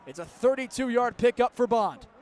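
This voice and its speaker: A young man calling live college football play-by-play for radio in crisp, clipped descriptive phrases. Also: extremely high pitch, animated, moderate pace. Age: young adult. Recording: outdoor stadium broadcast with background noise.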